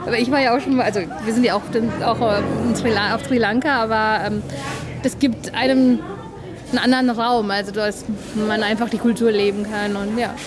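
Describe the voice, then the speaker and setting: A woman's voice: on the high side, steady tone, a little fast. A woman, answering an interview hesitantly with many fillers. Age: 30s. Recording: crowd noise behind.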